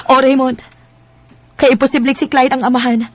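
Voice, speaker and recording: A very high-pitched woman's voice with a Cebuano Filipino accent. She is a married woman racked by guilt over two loves. The delivery is emotional and melodramatic, in the manner of Filipino radio drama. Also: flat delivery, moderate pace, dry recording. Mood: guilty, resolute.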